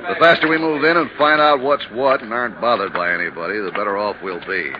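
A man's voice: lowish voice, fast talker, a crowd in the background, natural voice. An actor playing a big, blustery middle-aged insurance man in a 1950s radio drama, talking in a chummy, folksy way. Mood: businesslike, chummy. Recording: noisy, dull 1950s radio recording.

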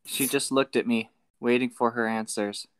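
A man's voice: mid-range voice, steady tone, normal speed, smooth, no room sound. A man talking casually with friends and reading a novel aloud. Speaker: co-host reading a book aloud for commentary. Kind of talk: casual chat and read-aloud.

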